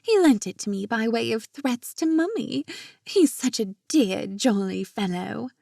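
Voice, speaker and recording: A woman's voice: on the high side, lively intonation, measured pace, gravelly, warm tone, dry recording, studio quality, voice pushed up, heavily acted. A woman voicing a playful young woman who puts on a mock-haughty, posh British accent in an audiobook.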